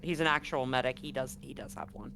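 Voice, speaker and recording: A game master with a medium-pitched voice talks casually through a tabletop role-playing session. The delivery is informal and explanatory. Some background noise sits behind the voice. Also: fairly even intonation, fast, a bit bright. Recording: clearly noisy background.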